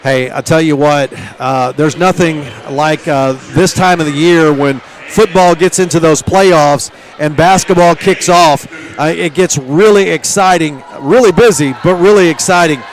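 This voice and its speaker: A man calling a live high school basketball game and interviewing at halftime, energetic, with frequent "uh" fillers. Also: measured pace, hardly any pauses, low-quality recording. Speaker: play-by-play announcer.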